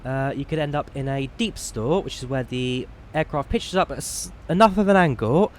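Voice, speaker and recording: A man's voice: mid-range voice, normal speed, slight background noise. A man giving casual live stream commentary while flying a simulator, chatty and self-deprecating.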